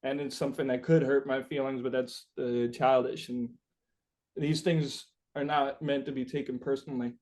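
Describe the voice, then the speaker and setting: A man's voice: medium pitch, level tone, normal speed, a little mellow. A man being interviewed as a musician, chatting casually and reflectively, searching for his words with informal, sweary phrasing.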